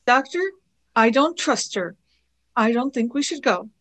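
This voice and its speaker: Woman playing high-voiced, excitable young Vicky. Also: unhurried. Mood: distrustful. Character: anxious, excitable young female time-traveller Vicky. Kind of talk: radio play acting.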